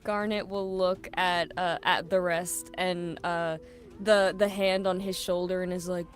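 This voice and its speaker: A woman's voice: fairly low pitch, little pitch movement, unhurried, soft background music. A woman casually narrating her character's actions as a player in a tabletop game. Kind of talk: casual improvised role-play narration.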